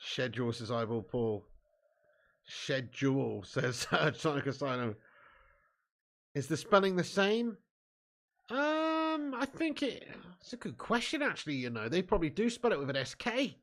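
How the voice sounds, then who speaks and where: English lad hosting a livestream, slightly high voice, cheeky banter and laughing a lot. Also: male voice, big pitch swings, unhurried, fairly bright tone. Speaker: football watch-along host. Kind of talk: casual live-stream chat reading viewer comments.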